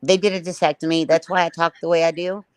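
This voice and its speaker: Low raspy woman, dry sound. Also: level tone, quick pace, no echo. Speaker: livestream panel host. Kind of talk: casual panel chat.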